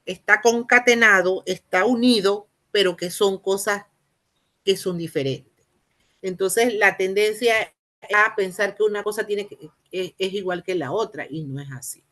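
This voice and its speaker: Woman lecturer, mid-pitched, halting delivery with fillers, formal explanatory tone. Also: a bit bright. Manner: halting, many "eh" fillers and restarts; explanatory, addresses listeners formally. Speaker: university lecturer. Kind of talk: spoken lecture.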